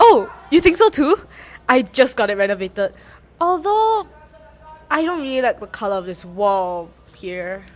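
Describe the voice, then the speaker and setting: A woman's voice: a little high, big pitch swings. Woman playing an eerily polite, obsessive male maniac. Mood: probing, unsettling. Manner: insistent, deliberate, menacing politeness. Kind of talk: amateur radio drama acting.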